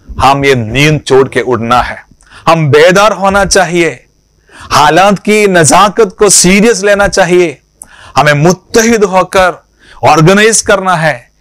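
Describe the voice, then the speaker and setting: A man who leads an organization delivers a political public address to supporters, speaking in a deliberate, emphatic way and often posing rhetorical questions. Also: a little high, a bit bright, no room sound.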